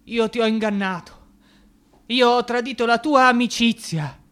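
An actor playing an anxious, fragile young clerk, dreamy and emotional, with a hesitant, plaintive delivery in an Italian radio drama. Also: male voice, extremely high pitch, moderate pace, background noise. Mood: distressed, confessing.

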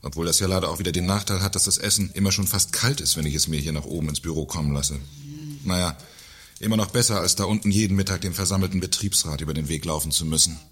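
A deep-voiced, pompous director who must control everything, acted by a man in a satirical radio play. His voice is rough and husky, and he sounds self-important and irritable. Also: fast. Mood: condescending. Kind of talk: German radio play acting.

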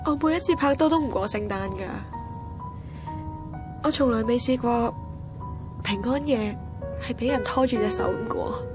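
A woman's voice: fairly high pitch, normal speed, pauses often, natural voice. An actress playing a heartbroken, tipsy young woman with a Hong Kong Cantonese accent, petulant and emotional, in a radio drama. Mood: wistful. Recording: music behind the dialogue.

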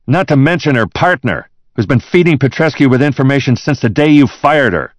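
A man's voice: medium pitch, animated, fairly quick, no room sound, voice pushed up, natural voice. A man voicing a knowing, blunt male henchman in an audiobook. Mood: knowing, insinuating.

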